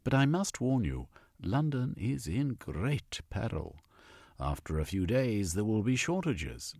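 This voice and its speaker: Brief: aloof scientist gatekeeper, voiced by a male narrator, measured, disdainful, husky grain. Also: on the low side, very animated, darkish tone, no room sound, studio quality, voice pushed up, full character voice. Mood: grave. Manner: haughty, measured. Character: supercilious tall male zoologist official.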